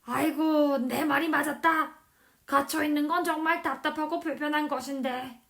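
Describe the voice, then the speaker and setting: A greedy, blustering man from a children's storybook acted out by a woman. The voice is high and loud, full of exclamations. He throws himself into every line with big, dramatic emphasis. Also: fairly even intonation, normal speed. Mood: pleading, remorseful. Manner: loud, exclamatory, wailing pleas. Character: greedy, blustering man who panics and begs. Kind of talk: children's storybook character acting.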